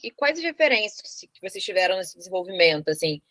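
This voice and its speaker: A woman's voice: lowish voice, sing-song, quick pace, fairly bright tone, no room sound. A woman hosting an informal interview, chatty and a little hesitant in her phrasing.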